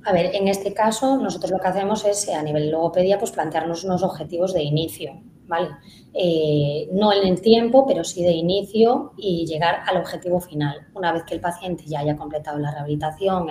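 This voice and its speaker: A woman's voice: lowish voice, darkish tone. Echoey woman speech therapist. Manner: hesitant, many fillers. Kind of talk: live conference Q&A. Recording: echoey room, faint noise.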